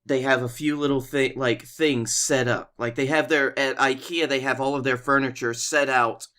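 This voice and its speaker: A man's voice: mid-range voice, steady tone, moderate pace, bright, forceful tone. Rambling American man swapping comic stories. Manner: rambling, profane, conversational. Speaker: comedy podcast co-host. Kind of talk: casual comic storytelling chat.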